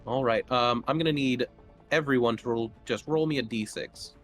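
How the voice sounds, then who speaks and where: A man narrating as game master of a tabletop roleplaying game, improvising descriptions in a halting way. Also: medium pitch, a bit bright. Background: music.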